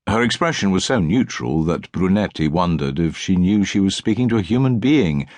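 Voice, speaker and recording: A man's voice: sits low, animated, moderate pace, darkish tone, no echo. Man narrating, dry close sound. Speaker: audiobook narrator. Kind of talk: scripted literary narration of a crime novel.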